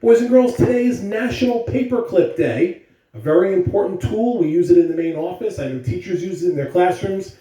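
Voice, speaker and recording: A man's voice: sits high, expressive, brisk, dark tone. A man speaking simply and directly to children, as in school morning announcements and story read-alouds. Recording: echoey room, distant mic, faint noise.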